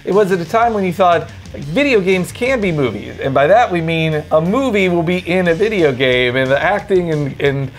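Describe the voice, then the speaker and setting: A young man with a slightly high voice chats casually as a commentator. His talk is informal and conversational, with music playing faintly behind him. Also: expressive, normal speed, few pauses. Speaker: video game commentator.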